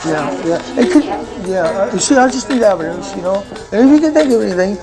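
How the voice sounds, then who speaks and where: Halting, agitated man over loud music. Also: sits high, lively intonation. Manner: halting, stammering, repeats words and phrases. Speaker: amateur filmmaker telling his own case. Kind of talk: rambling, impassioned personal account in conversation.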